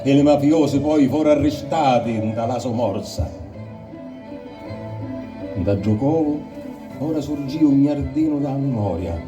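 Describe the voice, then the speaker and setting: A man with a strong Sicilian accent recites poetry in a solemn, declamatory way. His mid-pitched voice sits a little away from the mic, with music clearly behind it. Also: far from the mic.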